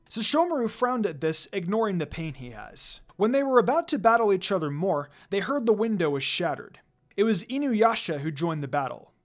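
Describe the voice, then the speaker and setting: A woman reading a scripted story as narrator, in a dramatic, expressive way. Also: lowish voice. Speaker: fan fiction narrator.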